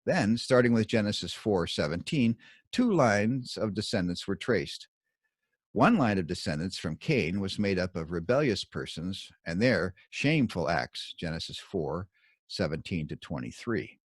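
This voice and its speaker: A man with a fairly low voice reads a scripted commentary on scripture. His delivery is measured and emphatic, with very varied intonation. The recording is dry and close, with little room sound. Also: big pitch swings, husky, dry recording. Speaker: author reading own Bible commentary.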